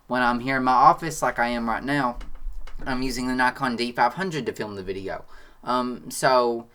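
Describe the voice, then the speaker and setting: Teen photography vlogger, Kentucky country accent, chatty and excitable, talking from a home office. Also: male voice, medium pitch. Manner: excitable, chatty, defensive then joking. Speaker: photography channel host. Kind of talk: casual Q&A reading comments.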